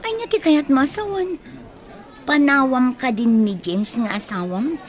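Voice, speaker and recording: An actress playing a chatty, outspoken village friend in an Ilocano radio comedy drama, exclamatory and incredulous, with an Ilocano accent. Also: female voice, high voice, a little slow, some chatter behind.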